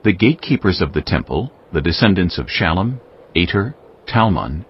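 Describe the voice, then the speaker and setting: Deep, expressive male scripture narrator. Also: slightly rough, dull audio. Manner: measured, formal. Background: music.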